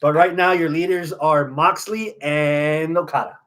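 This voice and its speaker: A man's voice: fairly high pitch, steady tone, unhurried, fairly bright tone. A man with an American accent hosting a wrestling podcast, giving opinionated, profane analysis in a casual chat.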